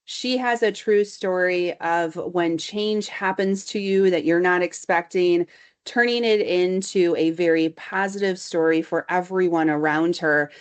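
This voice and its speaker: A woman's voice: lowish voice, measured pace, clear, resonant tone. Effusive woman hosting an interview. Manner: enthusiastic and effusive, with brief backchannels. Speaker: host.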